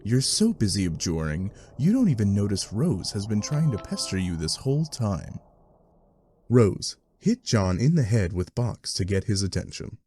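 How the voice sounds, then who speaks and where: Guy doing exasperated comic game narrator, wry, mellow lowish voice, bit of hiss. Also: very animated, moderate pace. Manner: wry, sardonic.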